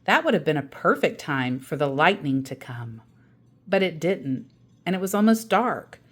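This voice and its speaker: A woman reading a children's book aloud as a storyteller, with a dramatic, deliberate delivery. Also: deep voice, very animated, husky. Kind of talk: expressive children's book narration.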